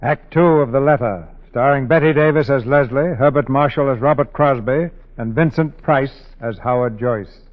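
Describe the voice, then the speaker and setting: A man narrating a 1940s radio drama as announcer, with formal, dramatic, deliberate phrasing. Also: mid-range voice. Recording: dull 1940s radio recording, loud music.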